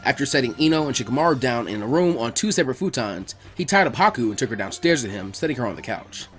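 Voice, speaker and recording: Male narrator, lively pitch swings, quick pace, scripted fan fiction reading, faint music.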